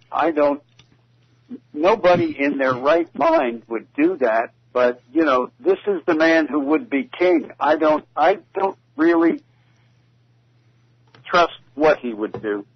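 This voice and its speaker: A man discussing politics casually as a radio commentator, sometimes halting and repeating himself. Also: a little high, big pitch swings, gravelly, slightly rough, dry recording. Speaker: talk radio guest commentator. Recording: dull lo-fi radio audio.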